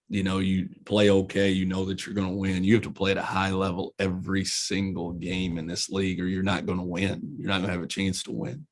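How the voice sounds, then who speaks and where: A man in his forties with an Eastern Kentucky country accent, a college basketball head coach answering interview questions in a folksy, earnest way that often repeats words as he restarts. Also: deep voice.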